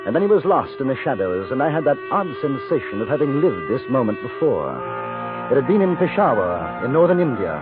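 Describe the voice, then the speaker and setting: A muffled vintage radio recording with music behind it carries an actor as a composed British ex-cavalry officer. His delivery is measured and wry, with an expressive rise and fall as he tells of his travels. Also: medium pitch, natural voice. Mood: reflective, watchful. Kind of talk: 1950s radio drama narration and dialogue.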